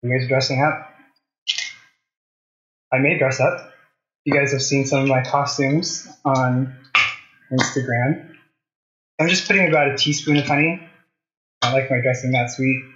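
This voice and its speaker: A man's voice: medium pitch, fairly even intonation, unhurried, long pauses, fairly bright tone. Guy in his 30s doing a casual cooking stream, chatty with viewers, echoey kitchen, slightly muffled audio. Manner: conversational, asks viewers questions while working. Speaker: cooking show host. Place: home kitchen, indoors. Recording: slightly dull, roomy kitchen sound.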